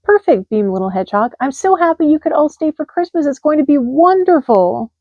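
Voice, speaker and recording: A cheerful little hedgehog, earnest and exclamatory, as a woman reading aloud puts him on. The voice sits well above her own, softened by a mellow tone. The sound is dry and a little dull. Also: high voice, expressive, brisk, no room sound, pitched above the performer's own voice. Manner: bright and exclamatory.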